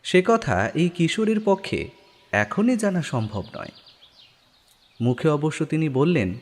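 A man's voice: on the high side, sing-song, a little slow. A man narrating a scripted audio story in a dramatic style. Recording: long studio reverb, background music.

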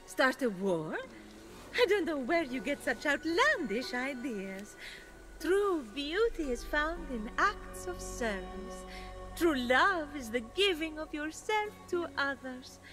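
A woman's voice: sits high, very animated, slow, heavily acted, poor audio. A woman voicing a sweet, reformed goddess trapped as a tree in a video game, gracious and theatrical, with saintly sincerity. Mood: earnest, virtuous. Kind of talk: video game character acting. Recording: music behind the dialogue.

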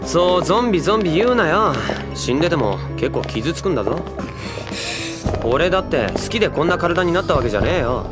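A man playing a brash, money-hungry young undead man in an anime audio drama, talking in rough, bossy slang. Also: on the high side, sing-song, fairly quick, over loud music. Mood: indignant.

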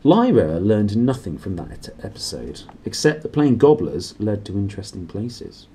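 Male storyteller, thirties. Low, mellow, slightly husky; swooping, dramatic read. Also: wildly swooping pitch, moderate pace. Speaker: storybook reader. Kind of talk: expressive read-aloud of a children's novel. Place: small cluttered room indoors.

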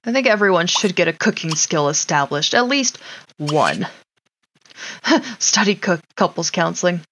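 A woman's voice: lowish voice, sing-song, unhurried, fairly bright tone, slight background noise. A woman giving casual, wry gameplay commentary, talking to her game characters as she plays. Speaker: gaming streamer.

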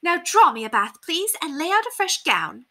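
A haughty, spoiled lady of the manor from a storybook. Her voice is very high and swoops dramatically. She is pompous and affected, stressing her words with bright force. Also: sing-song, moderate pace, exaggerated voice. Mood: impatient, haughty.

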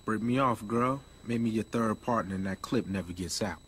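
An actor playing a cocky, defiant young street hustler in a hip-hop video game, slangy and swaggering. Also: male voice, on the low side, moderate pace, a bit bright, slight background noise, lightly acted. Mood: defiant, cool.

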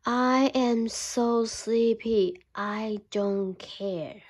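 A woman voicing a sleepy, lazy male bear in a picture-book read-aloud, speaking in a slow, drowsy, yawning drawl. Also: fairly high pitch, darkish tone.

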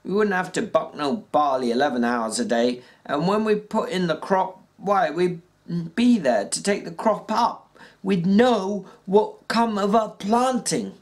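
A reader doing a weathered ranch hand who talks in a folksy rural drawl, his voice pushed noticeably higher than the narration. He rambles along in plain country words with small stumbles and fillers. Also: unhurried, close to an everyday voice. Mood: wistful. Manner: raised pitch, stumbles and fillers. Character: plainspoken ranch worker man dreaming aloud. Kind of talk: audiobook reading.

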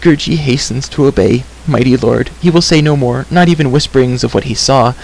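A man acts out a small, shaggy storybook creature full of self-pity. It whimpers and grovels, stretching out its wailing words in a raised pitch. Clear hiss runs behind the recording. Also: lightly acted, poor audio. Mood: meek, self-pitying. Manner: whimpering, wailing, drawn-out rhyming third-person speech.

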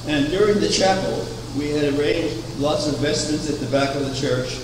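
An older man preaching a sermon from a pulpit, measured and anecdotal, asking rhetorical questions. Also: fairly high pitch. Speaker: preacher, founder of a religious order. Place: chapel, at a wooden pulpit. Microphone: far camera. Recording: echoey chapel from far off, air conditioning hum.

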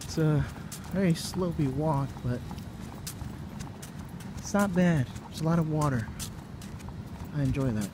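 Higher-pitched American man, casual vlogger. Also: unhurried, pauses often. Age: young adult. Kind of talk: casual vlog chat. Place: outdoors and busy convention hall. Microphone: phone at arm's length. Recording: noisy handheld phone, public ambience.

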